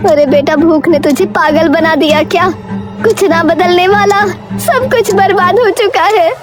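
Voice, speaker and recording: A woman voicing a bitter, scolding village mother in a cartoon story, sharp and dismissive in manner. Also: very high-pitched, unhurried, loud music behind the voice. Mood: angry, disbelieving.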